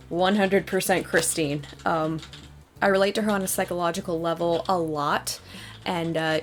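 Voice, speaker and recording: A young woman with a fairly low voice talks casually to her viewers as a video host. She takes her time, thinking aloud and rambling a little as she weighs her answers, with music playing behind her. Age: young adult. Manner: hesitant, rambling, thoughtful. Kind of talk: casual fan Q&A talk.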